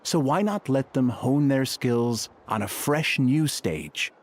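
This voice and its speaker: Easygoing leader with animated intonation. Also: male voice, medium pitch, slow pace, slight background noise. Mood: practical, upbeat. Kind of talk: scripted game dialogue.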